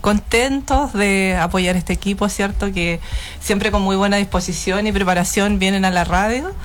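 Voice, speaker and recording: A woman's voice: mid-range voice, moderate pace, slight background noise. A woman, a health center director, greeting radio listeners in an upbeat, encouraging way.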